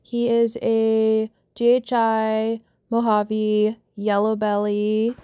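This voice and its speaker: A young woman chatting casually as she shows off pet snakes outdoors on a backyard deck, speaking in an unhurried, affectionate way into a handheld phone camera. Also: a little high, completely flat delivery, warm tone, smooth. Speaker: vlogger showing pet snakes. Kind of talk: casual show-and-tell narration.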